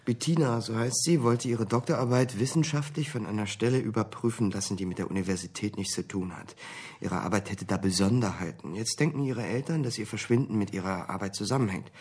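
An actor playing a businesslike office boss in a radio drama, matter-of-fact and polite, with a husky voice. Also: male voice, on the low side, brisk, darkish tone. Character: businesslike male boss of an academic writing office.

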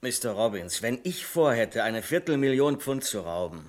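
A man plays a sceptical bank employee in an old radio play. His voice sits at a middle pitch, and he speaks with dry courtesy. He presses his point insistently. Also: big pitch swings, normal speed, lightly acted. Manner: polite but insistent, dry. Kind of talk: 1970s German radio play acting.

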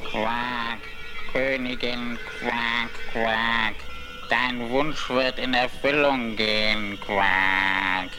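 A man voicing a croaking frog in a fairy tale audio play, quacking between slow, drawn-out words. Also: medium pitch, steady tone. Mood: solemn, croaking. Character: croaking frog prophesying to a queen. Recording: 1970s vinyl record with loud music.

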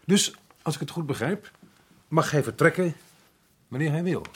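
Strict colonial governor type in a radio play, husky, pitch moves around a lot, formal and probing. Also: male voice, animated, moderate pace. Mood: firm, accusing. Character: Dutch colonial resident, stern, principled male official. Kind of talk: radio drama acting.

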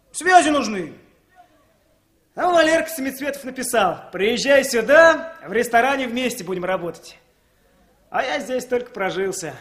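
A man's voice: extremely high pitch, a bit bright. A man playing a talkative, brash young cook down on his luck in a 1960s radio drama, boastful and plaintive. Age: young adult.

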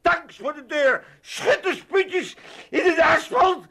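A man's voice: very high voice, takes it slowly, sharp tone, heavily acted. An actor playing a cranky, theatrical old father with an Amsterdam accent in a comic radio play. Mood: panicked, wailing. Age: older. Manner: grumbling, theatrical, exclaiming.

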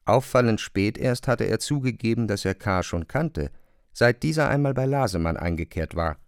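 A man narrating a literary radio drama in a measured, precise manner. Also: lowish voice, animated, clear, resonant tone, dry recording, studio quality.

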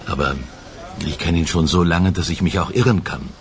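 Radio crime drama: male actor as composed, self-assured senior detective, very low register, deliberate pauses. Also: close to an everyday voice. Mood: frank, measured. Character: self-assured male police lieutenant colonel from economic crimes. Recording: music playing behind the voice.

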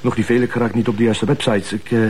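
A man's voice: mid-range voice, flat delivery, fast, darkish tone, a little hiss or hum, lightly acted. A Flemish man playing an earnest, slightly put-upon junior detective, hesitant and deferential, in a TV crime drama. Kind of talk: naturalistic TV crime drama acting.

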